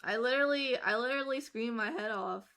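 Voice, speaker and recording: Hoarse, bright-voiced woman streamer. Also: on the high side, fast. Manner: rambling with many fillers and restarts. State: hoarse, voice worn out from screaming. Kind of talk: casual chat while drawing on stream.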